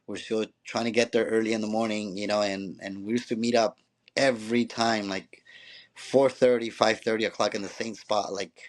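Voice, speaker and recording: A man's voice: on the low side, steady tone, moderate pace. A man, a former professional player now a youth coach, telling his story in a loose, rambling way with frequent restarts and "you know"s. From Mexico. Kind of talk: conversational storytelling.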